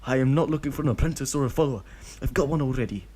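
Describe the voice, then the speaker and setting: A man's voice: medium pitch, very fast, a little mellow, breathy edge, voice pushed up, natural voice. A man voicing a weary adult ninja who turns a child away firmly. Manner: firm, tired, lightly raised.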